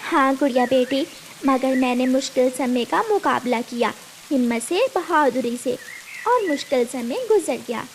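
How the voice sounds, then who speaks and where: A woman voicing an earnest, gentle mother bird in a children's cartoon. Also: high voice, expressive, measured pace, slight background noise. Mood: earnest, reflective. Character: gentle, brave mother bird telling her daughter memories. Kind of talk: Hindi children's cartoon storytelling.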